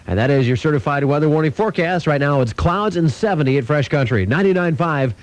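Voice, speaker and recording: A man's voice: on the high side, lively intonation, fast talker. An American man working as a country radio DJ, chatting to listeners in a playful, folksy way. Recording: radio broadcast aircheck with music bed. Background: music.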